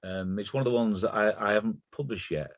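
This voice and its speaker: A man's voice: fairly low pitch, fast, dry recording. A middle-aged man with a Lancashire accent, chatting about his life in a casual, storytelling way. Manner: chatty, anecdotal. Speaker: guest poet. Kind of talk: casual conversation.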